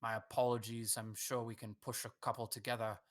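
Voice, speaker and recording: A man voicing an old male mouse elder who speaks with measured, courteous caution. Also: mid-range voice, level tone, close to an everyday voice. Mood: polite. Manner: courteous, measured, slightly weary.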